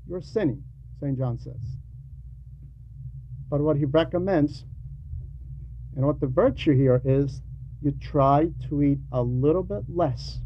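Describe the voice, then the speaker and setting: Mellow higher-voiced man preaching, dull recording. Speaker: preacher reading a translated homily. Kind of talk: sermon with conversational asides. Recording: dull lo-fi recording with faint noise.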